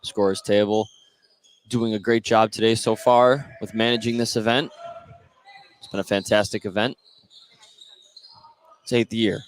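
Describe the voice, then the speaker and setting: A man's voice: lowish voice, little pitch movement, measured pace, long pauses, low-quality recording. A man doing live play-by-play commentary of a basketball game. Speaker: play-by-play announcer. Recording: live gym noise behind the voice. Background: gym ambience of a basketball game.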